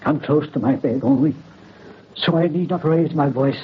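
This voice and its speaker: An actor playing a dying old French count, vengeful and commanding, in formal theatrical old-time radio horror style. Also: male voice, fairly high pitch, moderate pace, close to an everyday voice. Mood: weary, imperious. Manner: theatrical, archaic formal diction. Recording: muffled, noisy old radio recording.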